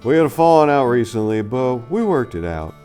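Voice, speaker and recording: Gentle young male giant acted by a man, earnest, protective, pitched up, crime audiobook. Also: very animated, fairly quick, faint music behind, lightly acted. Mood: earnest, friendly.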